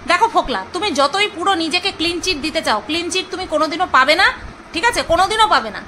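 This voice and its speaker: High, bright woman, mockingly scolding. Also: lively intonation, fast talker, slight background noise. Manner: mocking, scolding, emphatic with repeated phrases. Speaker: video blogger commenting on other online personalities. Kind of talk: casual gossip commentary.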